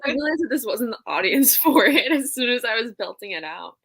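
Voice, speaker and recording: A woman with a bright, somewhat high voice and a very dry sound. She chats casually and playfully, often repeating a phrase as she thinks. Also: lively intonation, brisk, dry recording. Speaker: co-host of a book discussion livestream. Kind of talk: casual chat.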